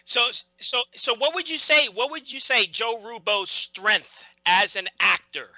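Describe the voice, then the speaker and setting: A man's voice: extremely high pitch, lively intonation, unhurried, bright, forceful tone, dry recording, low-quality recording. A man with a Brooklyn accent hosting an interview, stammering and restarting his questions. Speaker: interviewer host. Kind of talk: casual interview.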